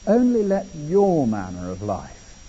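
An English man delivers a sermon with lively swings in pitch and a conversational touch. His voice is husky and mellow. The recording is muffled and rings with the long reverb of a large hall. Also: unhurried. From England. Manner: animated, conversational preaching with asides. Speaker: preacher. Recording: dull echoey hall.

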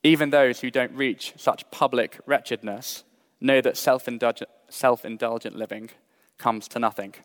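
A young adult man from Britain preaching a sermon in a measured, earnest way, mixing scripture with personal stories. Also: mid-range voice, fairly even intonation. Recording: long hall reverb.

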